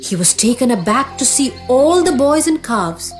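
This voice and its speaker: High-pitched woman storyteller over music. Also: very animated, normal speed. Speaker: storyteller, narrator. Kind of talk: scripted children's story narration.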